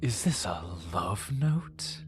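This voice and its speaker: An actor playing a smug, manipulative villain in an audio drama, breathy and teasing, often dropping into a menacing whisper with mock politeness. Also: male voice, medium pitch, big pitch swings, slow. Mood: mocking, flirtatious.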